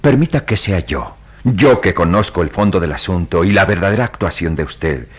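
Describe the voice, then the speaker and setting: A compassionate priest of melodramatic radio drama, grave and persuasive. His low voice is slightly husky and swings widely as he preaches with emphatic, oratorical weight. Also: animated, normal speed. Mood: solemn, reassuring. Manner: solemn, oratorical, emphatic delivery. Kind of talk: melodramatic Latin American radio drama acting.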